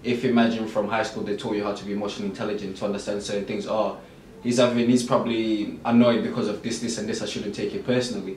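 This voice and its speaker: A man's voice: lowish voice, little pitch movement, fast talker, a little mellow. A man with a British accent, co-hosting a podcast and thinking aloud hesitantly, with plenty of "um"s. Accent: British English. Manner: thoughtful, hesitant with "um"s. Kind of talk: casual podcast chat. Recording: echoey room, mic at a distance, faint noise.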